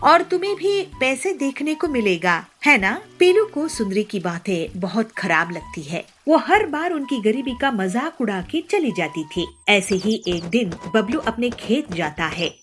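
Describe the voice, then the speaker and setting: Female fable narrator: scripted, slow-paced, lively intonation, light music bed. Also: medium pitch. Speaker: storyteller. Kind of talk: scripted children's story narration.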